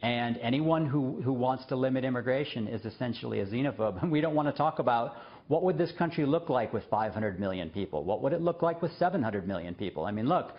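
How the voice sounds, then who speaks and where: A man's voice: mid-range voice, lively intonation, fast talker, hardly any pauses, dull audio. A man appearing as a guest on a news show, speaking earnestly with frequent fillers and short laughs. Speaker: guest, journalist and former diplomat. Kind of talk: remote interview.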